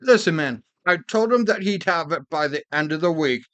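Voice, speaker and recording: A woman's voice: sits low, lively intonation, normal speed, a bit bright, no echo, voice pushed down. A woman voicing a scared male debtor who pleads defensively. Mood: nervous.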